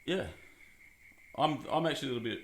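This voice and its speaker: A man's voice: medium pitch, brisk, slight background noise. A man hosts a casual podcast, joking and rambling with lots of fillers. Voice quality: husky. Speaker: podcast host. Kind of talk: casual chat.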